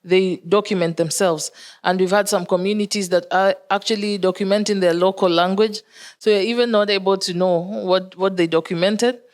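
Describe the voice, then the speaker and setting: A woman's voice: mid-range voice, steady tone. Kenyan woman explaining on a panel. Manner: measured, explanatory, with fillers. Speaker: conference panelist. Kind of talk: panel discussion.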